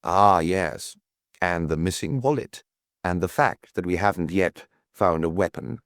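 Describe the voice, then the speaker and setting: A man voicing a gruff, skeptical police chief inspector in an audiobook, with blunt, probing questions. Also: low voice, unhurried, fairly bright tone, no room sound, studio quality. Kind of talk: audiobook character dialogue.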